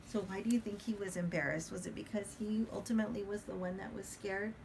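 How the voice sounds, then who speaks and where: A woman reading a picture book aloud in a chatty, hesitant way, pausing to explain the pictures. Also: medium pitch, fairly even intonation, darkish tone, a little hiss or hum, low-quality recording. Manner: chatty, hesitant with many fillers. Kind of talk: casual read-aloud with commentary.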